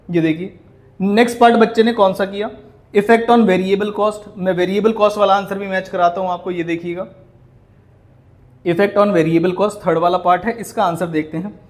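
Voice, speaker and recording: High-pitched Indian man, indignant and emphatic. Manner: indignant, scolding, emphatic, rhetorical questions. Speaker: accounting teacher. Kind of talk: explanatory video commentary on exam answer sheets.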